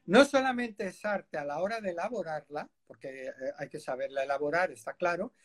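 A man hosting a radio chat in a studio, with a voice pitched a little high for a man. He rambles along easily, fills pauses with "eh" and breaks into laughter often. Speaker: radio host. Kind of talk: casual radio interview. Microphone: studio microphone.

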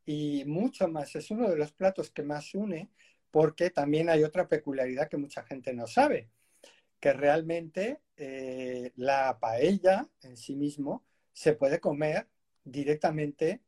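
A man hosting a radio chat in a studio, with a voice pitched a little high for a man. He rambles along easily, fills pauses with "eh" and breaks into laughter often. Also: dark tone. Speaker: radio host. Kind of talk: casual radio interview. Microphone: studio microphone.

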